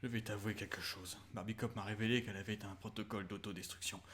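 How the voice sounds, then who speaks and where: A man's voice: lowish voice, steady tone, quick pace, a bit bright, natural voice. A man voicing a pompous, vulgar middle-aged husband in a comedy dub, smug and easily flustered. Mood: tender hushed. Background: music.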